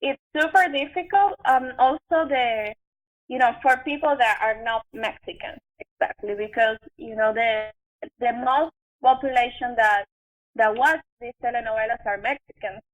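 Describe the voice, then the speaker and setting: A young woman from Venezuela with a Venezuelan Spanish accent, telling her story casually and haltingly in an interview, with many fillers. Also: a little high, lo-fi sound. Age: young adult. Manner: halting, filler-heavy, conversational. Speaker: podcast guest, actress and chef.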